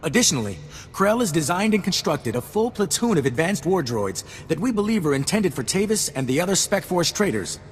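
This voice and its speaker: A dutiful military operative in a video game, formal and deferential. His voice sits fairly high and carries hall reverb over a faint rumble. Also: sing-song, brisk. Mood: businesslike. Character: dutiful, earnest male military special forces operative. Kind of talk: video game cutscene acting.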